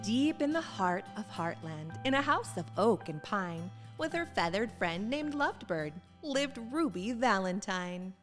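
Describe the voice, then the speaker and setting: A woman reading a children's storybook aloud with expressive, sing-song emphasis. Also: mid-range voice, measured pace, hardly any pauses, faint music behind. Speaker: children's book reader.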